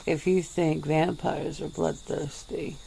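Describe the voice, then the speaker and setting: A woman voicing an ancient, weary female vampire in a soft-spoken ASMR roleplay, with low chuckles. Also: fairly even intonation, slow, gravelly, a little hiss or hum, exaggerated voice, low-quality recording.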